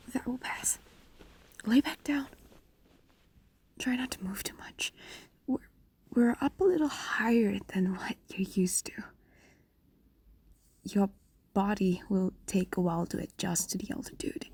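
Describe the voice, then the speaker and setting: A woman acts out an ancient female fox demon, tender and possessive, in a fantasy audio roleplay. Her voice is mellow and very breathy, often sinking into whispers. She coaxes slowly and soothingly, close up in a dry space. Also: medium pitch, no echo, heavily acted. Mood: soothing, coaxing. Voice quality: soft, breathy, largely whispered. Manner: slow, soothing, hesitant pauses, coaxing. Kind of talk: intimate fantasy audio roleplay, spoken to listener. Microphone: close microphone, dry.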